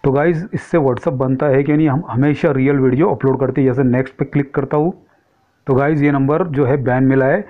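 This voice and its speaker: A man's voice: mid-range voice, steady tone, a little fast, narrow, tinny audio. A man giving a casual, explanatory tech tutorial, often addressing his viewers directly. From India. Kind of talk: casual screen-recording tutorial commentary.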